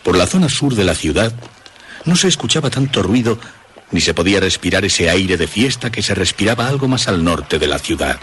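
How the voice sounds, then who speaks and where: A man narrating a scripted horror story for radio in a measured, dramatic storytelling manner. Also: low voice, sing-song, a bit bright, slight background noise.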